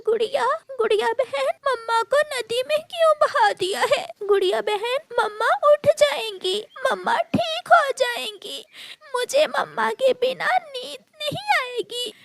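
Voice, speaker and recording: Woman as a tiny, high-voiced weepy boy bird. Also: a little slow, creaky, noisy background, exaggerated voice. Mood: distraught. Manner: childlike, whimpering. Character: very young boy bird, innocent, bewildered, weepy little brother.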